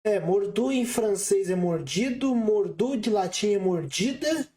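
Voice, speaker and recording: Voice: medium pitch, expressive, a little slow, mellow. A Brazilian podcast co-host in a casual film discussion, chipping in briefly with details.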